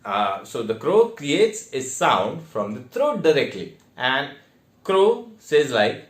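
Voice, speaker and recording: A man with an Indian accent giving a theatre lesson in a deliberate, step-by-step way with emphatic stressed words. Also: medium pitch, sing-song, a bit bright. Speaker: theatre teacher. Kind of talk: instructional online lesson with demonstrations. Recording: echoey, reverberant room.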